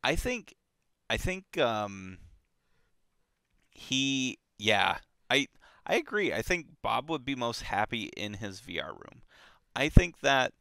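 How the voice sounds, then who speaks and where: Book club co-host, male; bright, unhurried, hesitant casual talk with lots of restarts. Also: on the low side, vocal fry, clean tone, no echo, clean studio sound. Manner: relaxed, hesitant, many fillers and restarts.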